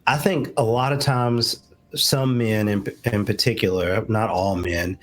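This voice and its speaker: Man talking casually as a guest. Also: lowish voice. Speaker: talk show guest, relationship advisor. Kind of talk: conversational talk-show discussion.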